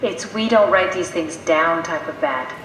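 Female scientist, sci-fi horror game, brisk, wry, practical, long reverb, faint background noise. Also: fairly low pitch, fairly bright tone. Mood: dismayed, resigned. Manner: matter-of-fact, dryly wry. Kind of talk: video game voice acting. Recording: very echoey metal hall, noisy ambience.

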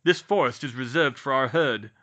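Haughty centaur played by a male narrator: loud, forceful, stern and formal, hostile to humans, storybook menace. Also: medium pitch, moderate pace, natural voice. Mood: hostile, menacing. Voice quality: nasty, menacing tone. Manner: stern, formal, imperious speech. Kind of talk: audiobook character voice.

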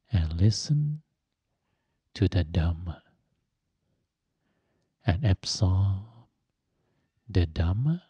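Very slow, low-voiced man guiding meditation. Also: breathy edge, no room sound, lo-fi sound. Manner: gentle, instructive, phrase by phrase with long pauses. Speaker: Buddhist monk and meditation teacher. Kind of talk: guided meditation.

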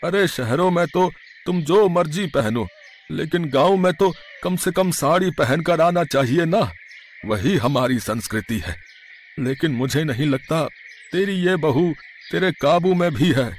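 Casting: old village grandfather for cartoon moral tale, stern, emphatic, very animated intonation male actor. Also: a little high, a little slow, a little hiss or hum. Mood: stern, disapproving. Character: stern, old-fashioned village patriarch, elderly grandfather-in-law. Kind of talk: animated moral story dialogue.

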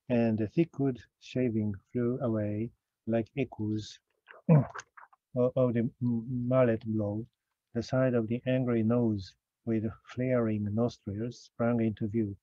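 Man, careful halting lecture. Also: medium pitch, no echo, muffled recording. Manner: slow, careful, with frequent hesitations and self-corrections. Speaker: academic speaker. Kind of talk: recorded conference lecture.

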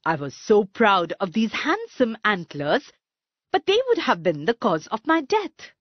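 A woman voicing a vain male stag in a children's storybook, expressive and absorbed in his own looks. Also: medium pitch, measured pace, dry recording, lo-fi sound. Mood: rueful. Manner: self-admiring, expressive.

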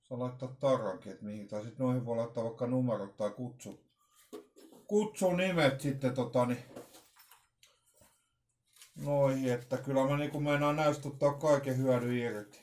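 A man's voice: medium pitch, long pauses, a little mellow. A middle-aged man giving a casual, unscripted unboxing talk in a hesitant, rambling way, often repeating his words. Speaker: vlogger presenting an unboxing. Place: small room at home, indoors. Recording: roomy, echoey home recording.

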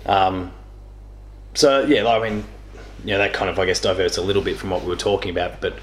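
A man's voice: low voice, steady tone, brisk, a little hiss or hum. A young man telling gaming stories in casual chat as a guest, in a rambling, self-correcting way. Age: young adult. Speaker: interview guest. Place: small living room, on a couch. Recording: echoey living room.

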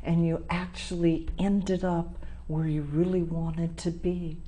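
A woman's voice: fairly low pitch, little pitch movement, slow pace, dark tone. A woman hosting a TV talk show, interviewing a guest in a studio in an effusive manner. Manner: effusive, laughs often. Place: TV studio set.

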